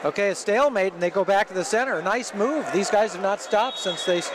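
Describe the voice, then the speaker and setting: A man with a notably high voice gives live radio play-by-play at a wrestling meet. He talks conversationally through the action, with clear crowd noise and arena din behind him. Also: brisk. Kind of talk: live sports commentary. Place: indoor sports arena, matside. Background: crowd noise and gym bustle.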